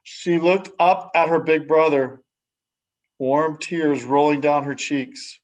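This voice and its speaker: A man with a fairly high voice reads a children's picture book aloud. He takes his time, phrasing dramatically and letting the ends of sentences drop to a hush. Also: fairly even intonation, low-quality recording. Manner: measured, dramatic phrasing with hushed sentence endings. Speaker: story reader.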